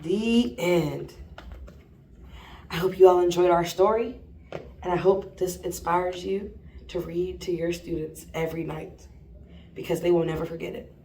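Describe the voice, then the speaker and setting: A woman teacher reading a children's picture book aloud in an expressive storytelling style. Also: fairly low pitch, unhurried, long pauses. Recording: roomy, distant mic, faint noise.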